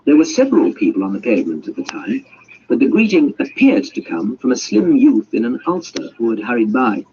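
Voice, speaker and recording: A man narrating a classic story as an audiobook reader, in an expressive scripted style. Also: mid-range voice, a little fast. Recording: dull audio with faint hiss.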